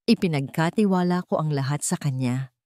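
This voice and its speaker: A woman with a Filipino accent voicing a grieving, betrayed young woman in a story, halting and confessional. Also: sits low, warm tone, dry recording, clean studio sound, close to an everyday voice. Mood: regretful, hurt.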